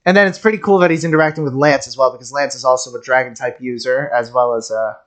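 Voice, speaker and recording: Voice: medium pitch, fast talker, a bit bright. A streamer giving casual game reaction commentary in a rambling way, often stammering and repeating words. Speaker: streamer, game content creator.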